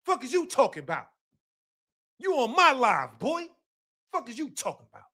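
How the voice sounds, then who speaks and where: Bright, high-voiced man ranting emphatically. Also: huge pitch swings, moderate pace. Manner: emphatic, repeats himself, profane, bursts into shouts. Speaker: host of a live boxing talk stream. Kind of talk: casual live-stream commentary.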